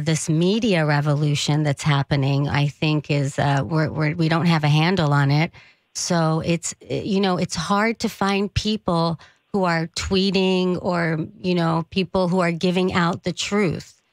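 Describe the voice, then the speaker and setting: Low-voiced woman hosting in radio studio. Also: fairly even intonation, a little slow, dry recording. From United States. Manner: conversational with many fillers and restarts. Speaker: radio host. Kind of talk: radio interview. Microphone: studio mic with headphones.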